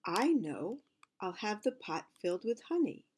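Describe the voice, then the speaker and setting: A woman's voice: mid-range voice, sing-song, unhurried, darkish tone, a little hiss or hum, pitched above the performer's own voice. A woman voicing a showy, exclaiming rich man in a storybook. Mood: delighted. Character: pompous rich man, grown.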